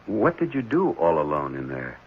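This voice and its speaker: A gently probing, concerned man in a vintage radio drama. His voice sits fairly low and moves expressively up and down. The old recording sounds muffled. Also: wildly swooping pitch, normal speed, breathy edge, full character voice. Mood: gentle. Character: concerned, gently probing adult man, prospective foster father. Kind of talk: 1970s radio drama acting.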